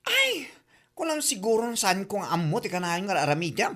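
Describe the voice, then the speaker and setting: An actress playing a nosy, sassy, gossiping busybody in a comedy drama, with an Ilocano accent. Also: female voice, medium pitch, huge pitch swings, normal speed, a bit bright, heavily acted. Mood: prying.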